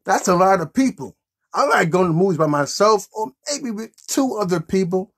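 Animated man with a husky voice. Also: high-pitched, moderate pace. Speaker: puppet show host. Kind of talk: casual improvised children's show chat.

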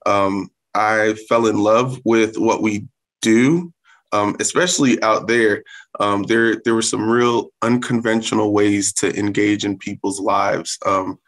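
A man's voice: fairly low pitch, a bit bright, poor audio. A man around thirty from South Florida, raised speaking Haitian Creole at home, answering interview questions earnestly with many um fillers. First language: Haitian Creole or French. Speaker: guest, Salvation Army corps officer.

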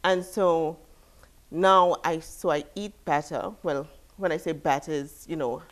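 A woman from Trinidad and Tobago in her thirties, a studio interview guest talking in a relaxed way with lots of "you know" and "like". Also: low voice, a little slow. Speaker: guest, fitness expert. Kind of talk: casual interview talk. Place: TV studio, seated.

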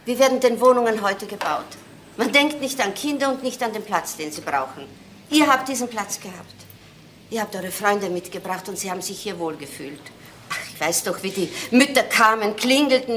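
A woman's voice: medium pitch, animated, normal speed, fairly bright tone, a little hiss or hum, close to an everyday voice. Actress as a pleading mother in a roomy recording. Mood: pleading, wistful. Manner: hesitant, earnest, imploring. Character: pleading widowed mother of grown sons, earnest, wistful. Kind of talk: naturalistic German crime drama acting.

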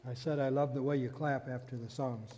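A man's voice: mid-range voice, steady tone, fairly quick, noisy background. An older man preaching a sermon from a church pulpit, telling a story and explaining scripture in an earnest manner. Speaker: pastor. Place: church sanctuary stage, indoors. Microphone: stand mic at pulpit. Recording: long hall reverb.

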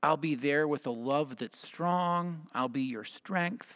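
Measured American male preacher. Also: on the high side, fairly bright tone. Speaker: pastor. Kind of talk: sermon, partly read from notes. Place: church sanctuary at a lectern. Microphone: headset mic.

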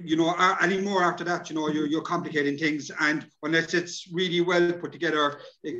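A man's voice: fairly high pitch, fairly bright tone. A middle-aged Irish man giving an informal, chatty workshop talk over a video call, often adding "you know". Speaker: workshop presenter. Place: indoors, plain room on video call. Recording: dull lo-fi video call audio.

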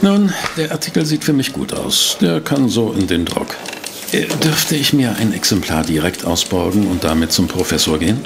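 Inquisitive, well-mannered reporter for radio play: lowish register, animated melody, formal delivery. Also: male voice, gravelly, noisy background. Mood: curious, courteous. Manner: polite, formal, measured. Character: inquisitive, courteous male newspaper reporter and narrator. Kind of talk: German audio drama acting and first-person narration.

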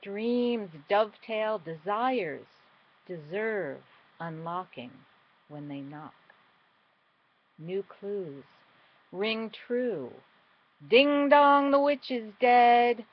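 A middle-aged woman performing a spoken-word poem with dramatic, deliberate phrasing that moves between emphatic and hushed. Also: medium pitch. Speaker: poet. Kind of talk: spoken-word poetry recital. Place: small indoor room at home. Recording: dull, lo-fi webcam sound.

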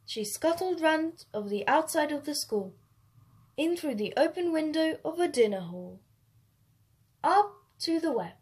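A woman storyteller reading a children's picture book aloud in an expressive, unhurried way. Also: high-pitched.

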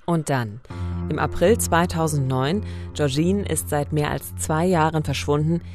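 A woman's voice: deep voice, a little slow, a little mellow, soft background music. A woman co-hosting a true-crime podcast in a conversational, narrating style.

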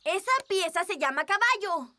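A woman's voice: very high-pitched, animated, normal speed, heavy background noise. A woman voicing an eager young kitten child in a Latin American Spanish cartoon dub.

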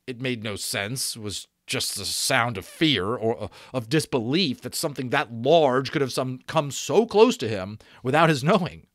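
A man in his thirties reading a novel aloud as dramatic narration, with deliberate pauses and stressed repeats, close to a microphone. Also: mid-range voice, lively intonation, no echo. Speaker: audiobook reader. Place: small room at home. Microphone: desk microphone close in front.

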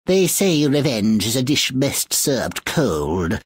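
An actress playing a spiteful, vengeful old witch fairy in a video game, husky, menacing and drawn-out. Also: extremely low pitch, sing-song, no echo, heavily acted. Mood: vengeful, gloating. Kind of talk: theatrical video game acting.

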